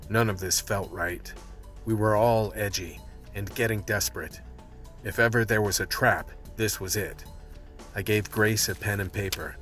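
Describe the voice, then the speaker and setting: Man acting a low, gritty vice cop narrator. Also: fairly even intonation, normal speed, a bit bright, faint music behind, natural voice. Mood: tense, brooding. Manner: gritty, hard-boiled. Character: world-weary, tense male vice cop narrator. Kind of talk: scripted radio play narration.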